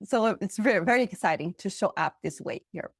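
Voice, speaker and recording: A woman's voice: medium pitch, brisk, breathy edge, no echo. Brazilian woman coach, dry close sound. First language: Portuguese. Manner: earnest, animated, rhetorical questions. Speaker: guest, life coach. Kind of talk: interview answers.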